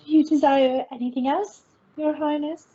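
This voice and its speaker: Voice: high-pitched, fairly even intonation, moderate pace, dark tone, dull audio, natural voice. A performer voicing a polite, formal, faintly disapproving female pony maid in a fanfiction reading. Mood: polite, prim. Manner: formal, deferential.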